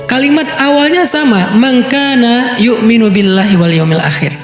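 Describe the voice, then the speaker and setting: A man's voice: very high voice, moderate pace. A man giving a conversational Islamic sermon, explaining with rhetorical questions and Arabic recitation. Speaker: preacher. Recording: echoey room with faint music.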